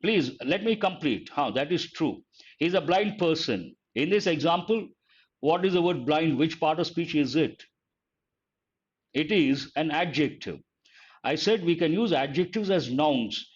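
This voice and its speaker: An older man with an Indian English accent teaches an online English grammar class, calling on students by name, asking questions and repeating the key points slowly. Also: a little high, expressive. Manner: addresses students by name, asks and answers his own questions. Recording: dull lo-fi audio.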